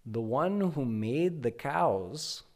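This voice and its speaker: A man voicing a loving mother who gently and patiently soothes her small son. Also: mid-range voice, lively intonation, extremely slow. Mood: gentle. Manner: soft, gentle, patient coaxing.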